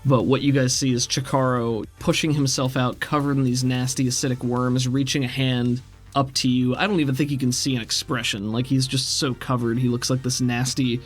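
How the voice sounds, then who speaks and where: A man's voice: medium pitch, little pitch movement, brisk, breathy edge, soft background music. A man running a tabletop role-playing game as game master, narrating scenes in a descriptive, cinematic way.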